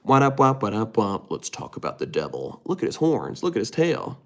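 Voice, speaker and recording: A man's voice: on the low side, wildly swooping pitch, normal speed, a little mellow. A man hosting a casual livestream, reading viewer comments aloud and answering them in a rambling way with many restarts. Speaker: livestream host reading chat.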